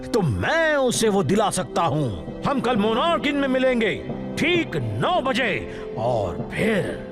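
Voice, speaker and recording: Here is a grandiose cartoon expedition leader, luring treasure hunters. His high voice swings dramatically up and down in slow, showy phrases, over music. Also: huge pitch swings, exaggerated voice. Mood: grand, dramatic. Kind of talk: theatrical cartoon dub pitch. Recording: music behind the voice.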